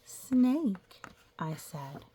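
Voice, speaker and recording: A woman reading a picture book aloud to young children, gently pausing to explain things and ask questions. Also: medium pitch, sing-song, breathy edge. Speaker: children's story reader.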